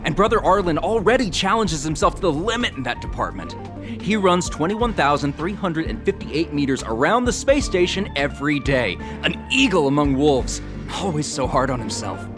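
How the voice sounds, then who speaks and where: An overzealous young guard in a video game, high for a male voice. He is bombastic and swoops about in pitch, with music behind him. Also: big pitch swings, normal speed. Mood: admiring.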